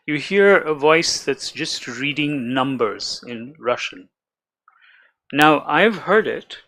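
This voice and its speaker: A man's voice: fairly high pitch, a bit bright. A man on a panel telling a curious anecdote in a storytelling way. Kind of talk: panel discussion.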